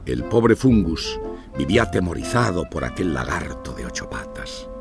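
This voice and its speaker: A man's voice: a bass voice, big pitch swings, moderate pace, creaky, over music. A man narrating a scripted children's story with dramatic storytelling delivery.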